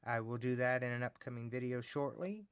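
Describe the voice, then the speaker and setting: A man's voice: medium pitch, brisk, clean tone, dry recording, clean studio sound. A man with a Texan accent, rambling happily as he shows off his locks and keys in a casual vlog, chuckling often. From Texas. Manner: enthusiastic, rambling, says y'all, chuckles often. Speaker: lock collecting channel host. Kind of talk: casual show-and-tell vlog.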